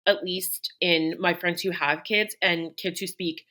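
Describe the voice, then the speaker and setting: Reflective woman podcaster, lowish voice. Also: fairly even intonation, a little slow, pushed and bright. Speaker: podcast co-host. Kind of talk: casual podcast chat.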